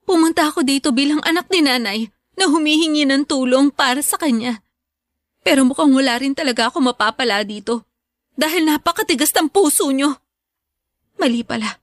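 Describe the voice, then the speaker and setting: Actress as bitter Filipina daughter in radio drama: very high voice, pleading and accusing, close dry sound. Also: female voice, a little fast, no echo, natural voice. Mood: hurt, accusing. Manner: emotional, accusing. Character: hurt, pleading, bitter grown daughter confronting her father.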